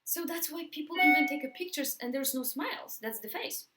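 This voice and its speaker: A woman from Poland with a Polish accent, giving a motivational talk to camera. She speaks emphatically, repeats words for stress and slows down on key phrases. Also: high voice, lively intonation, noisy background.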